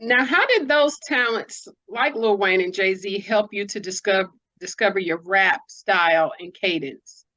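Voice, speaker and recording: A woman's voice: medium pitch, unhurried, few pauses, bright, forceful tone, dull audio. A woman hosting a conversational interview, putting questions to her guest and explaining what she means. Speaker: interviewer, host.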